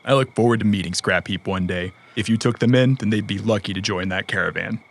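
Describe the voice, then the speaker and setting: Deep-voiced, gruff, loyal mercenary. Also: male voice, fast talker. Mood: reassuring. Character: gruff, loyal male cyberpunk mercenary, protective and dry. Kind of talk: audio drama acting, understated and conversational.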